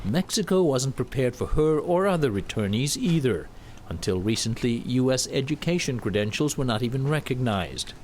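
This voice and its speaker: A man narrating a news report in a measured, scripted delivery. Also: mid-range voice, lively intonation, a little mellow, a little hiss or hum. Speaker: news correspondent.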